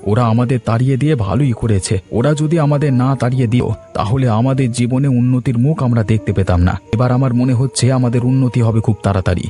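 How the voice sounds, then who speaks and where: A mellow, quick male voice acted out as a proud, poor cartoon husband. He is earnest, resolute and reassuring, over faint music. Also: mid-range voice, lightly acted. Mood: resolute, hopeful. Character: proud, hopeful poor husband planning a new business. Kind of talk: cartoon dialogue acting.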